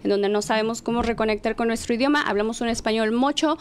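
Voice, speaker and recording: A talkative woman with a slightly high voice, who grew up in the United States. She speaks as a guest in a relaxed group conversation, laughs a lot and often restarts mid-sentence. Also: fast, slight background noise. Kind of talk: casual panel discussion.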